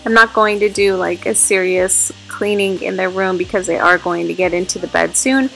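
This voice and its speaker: A chatty, upbeat woman in her thirties talks straight to her viewers about her home and family. Her mid-range voice has a gravelly, fry-tinged edge, and faint music plays underneath. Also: mid-range voice, normal speed, fairly bright tone, poor audio. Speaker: home vlogger. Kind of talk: casual vlog narration. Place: family home, bedrooms and kitchen.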